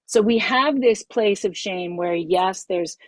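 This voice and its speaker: Yoga teacher lady on a video call, kinda husky, lowish, dry sound, says stuff twice for emphasis. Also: takes it slowly, no echo. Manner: expressive, emphatic, repeats key phrases. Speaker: yoga teacher leading a masterclass. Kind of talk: workshop teaching to a group.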